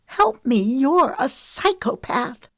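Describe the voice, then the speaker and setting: A woman voicing an enraged young woman, shrill and trembling with outrage. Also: big pitch swings, takes it slowly, a bit bright, no room sound, clean studio sound, exaggerated voice. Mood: shrill, defiant. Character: furious young woman confronting a killer.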